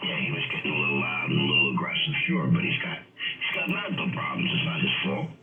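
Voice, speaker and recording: A man's voice: quick pace, loud music behind the voice. A man arguing casually over ham radio, swearing, emphatic and repeating his points. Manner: profane, emphatic, repetitive. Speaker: amateur radio operator in a group chat. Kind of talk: casual argumentative chat. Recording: narrowband, echoey ham radio transmission, loud interference.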